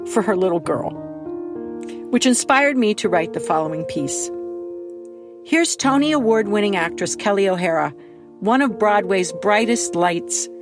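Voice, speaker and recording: A middle-aged woman, an author, earnestly introducing an audio drama episode with a measured, scripted delivery. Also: medium pitch. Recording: music under the voice.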